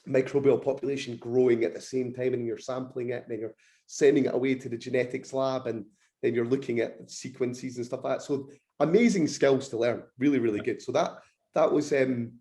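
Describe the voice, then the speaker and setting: A man with a croaky, slightly hoarse throat, explaining research as a podcast guest, eager and technical. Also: mid-range voice, mellow.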